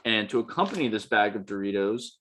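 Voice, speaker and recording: A man's voice: lowish voice, animated, quick pace, a bit bright. A young man, co-hosting a podcast in upbeat, emphatic, slangy casual chat. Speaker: podcast co-host.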